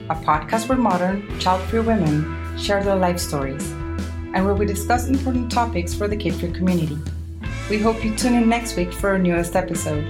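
Lower-voiced woman podcast host. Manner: encouraging, laughs often. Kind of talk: podcast interview and outro.

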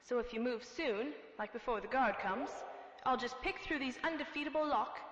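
In a boomy, echoing space with slightly dull sound, a woman plays a plucky captive heroine. Her voice sits fairly high, earnest and theatrical, with a wry streak suited to a radio drama. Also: moderate pace, a bit bright, natural voice. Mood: sweetly earnest. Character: plucky, wry young woman held prisoner, damsel in distress. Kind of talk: amateur radio drama acting. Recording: echoey hall, dull muffled sound.

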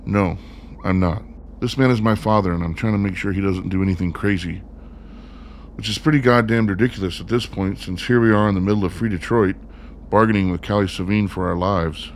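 An actor playing an earnest, defiant son who argues directly and insistently in a radio drama. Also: male voice, low-pitched, fairly even intonation, brisk, a little hiss or hum, natural voice. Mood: defiant, urgent. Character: earnest, defiant son bargaining for their lives.

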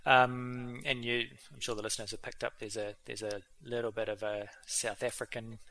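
A man's voice: mid-range voice, level tone, normal speed, pushed and bright. A man hosting a vet podcast, chatting casually with many fillers and restarts. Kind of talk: casual interview chat.

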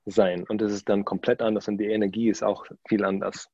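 A man who was born in Germany and grew up in Australia, speaking somewhat halting German with a background, full of fillers and restarts, as a guest in a casual interview. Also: fairly low pitch, little pitch movement, warm tone, no room sound, lo-fi sound. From Germany, raised in Australia.

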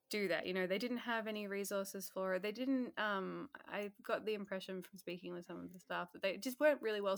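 A woman, a healthcare worker telling her story in a casual, animated interview and acting out her own earlier remarks. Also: medium pitch, gravelly, no room sound.

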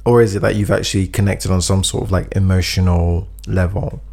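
A man's voice: sits low, steady tone, brisk, vocal fry, mellow. A man co-hosting a casual chat, giving earnest advice and acting out example speeches, a bit phlegmy. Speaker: podcast co-host giving advice.

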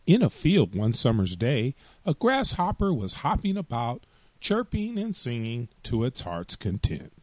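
A man narrates fables for children with a very animated, swooping delivery. He speaks as an expressive storytelling host, and the recording is close and dry. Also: mid-range voice, a little slow, no room sound.

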